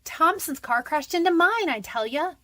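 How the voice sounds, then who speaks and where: A woman's voice: very high-pitched, lively intonation, normal speed, pushed and bright, full character voice. An actress playing a frightened but defiant young convicted woman in a radio detective drama, defensive, pleading and slangy. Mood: bitter.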